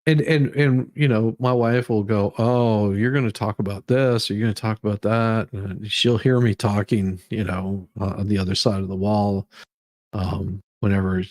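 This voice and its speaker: Halting, rambling man chatting on a livestream. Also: lowish voice, no room sound. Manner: rambling, halting, often repeating words. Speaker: livestream host. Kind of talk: casual livestream chat with viewers. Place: room at home.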